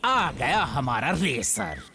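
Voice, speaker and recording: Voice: medium pitch, very animated, slow, pushed and bright, slight background noise, heavily acted. An actor voicing a haughty, scornful wolf in a cartoon, taunting and smug, with music behind. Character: haughty adult wolf rival, scornful of human child.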